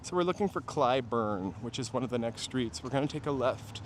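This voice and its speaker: A man's voice: mid-range voice, lively intonation, fairly quick. A man talks in a mid-range voice. He rambles casually, like a tour host chatting to live viewers as he walks. Light urban street noise and vehicles sit behind him outdoors. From New Hampshire, USA. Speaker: walking-tour livestream host. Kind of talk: casual chat while walking. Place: outdoors on city streets, walking. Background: traffic and urban ambience.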